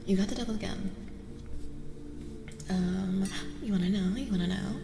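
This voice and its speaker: A woman hosting an ASMR tarot livestream, speaking softly and gently, often dropping into a breathy whisper and repeating phrases soothingly. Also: mid-range voice, normal speed, background noise. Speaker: livestream host, tarot reader. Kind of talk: soft-spoken ASMR livestream chat with tarot reading. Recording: reverberant echo with music underneath. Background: music.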